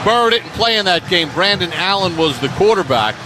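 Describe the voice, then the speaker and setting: A man's voice: sits high, lively intonation, a little fast, music in the background. A man hosting a sports radio pregame show, giving live play-by-play style commentary. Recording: noisy stadium ambience behind the voice.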